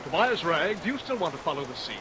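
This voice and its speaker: Magnanimous young romantic lead: fairly high, hearty, declaiming, old radio style, loud score beneath. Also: male voice, sing-song, quick pace, rough recording. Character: gallant, generous young sailor hero, hearty and romantic. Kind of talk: 1940s radio melodrama acting. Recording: vintage radio recording, rain over it. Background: loud music, rain.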